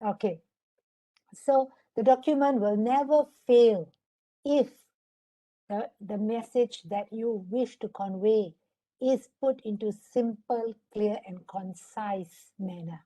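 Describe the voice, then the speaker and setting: Malaysian woman trainer on a webinar call, warm mellow tone, instructive, keeps checking in with the audience. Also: on the high side, slow pace, poor audio. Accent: Malaysian English. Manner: instructive, often asks for agreement. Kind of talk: online webinar lecture.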